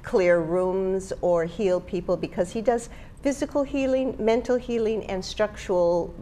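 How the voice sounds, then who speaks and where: A woman's voice: medium pitch, measured pace, dark tone, a little hiss or hum. An older woman talks casually as a guest on a studio panel. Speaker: panel guest, event organizer. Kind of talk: casual studio interview talk. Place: small indoor TV studio.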